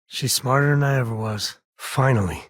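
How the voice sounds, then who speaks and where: An actor playing a rugged, easygoing male space ranger in a video game, with a husky voice and a wry, folksy, conversational manner. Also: mid-range voice, normal speed, dry recording, studio quality, close to an everyday voice. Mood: bitter, reflective. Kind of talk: expressive game companion dialogue.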